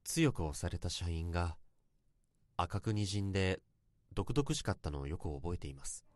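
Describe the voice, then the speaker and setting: A man's voice: low voice, lively intonation, moderate pace, darkish tone. An actor playing an earnest salaryman in his thirties, telling his story politely and reflectively in a Japanese radio drama, in a literary style. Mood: reminiscing. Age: 30s. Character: earnest 32-year-old salaryman confessing a bittersweet youthful love.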